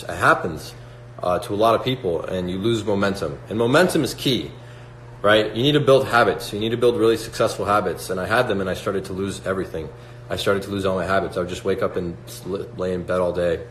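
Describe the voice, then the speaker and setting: A man's voice: low voice, brisk, a bit bright. A man in his twenties hosting a casual livestream, talking to viewers in a motivational way with lots of "like" and "right?". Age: 20s. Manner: motivational, reads out chat questions, many fillers like "like" and "right?". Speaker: livestream host. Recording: echoey room with faint hum.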